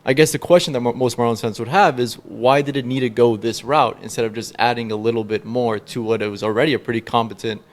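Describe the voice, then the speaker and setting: A man's voice: mid-range voice, fairly even intonation, quick pace, few pauses. A man hosting a sports podcast, asking long, reasoned questions in a conversational way. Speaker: podcast host.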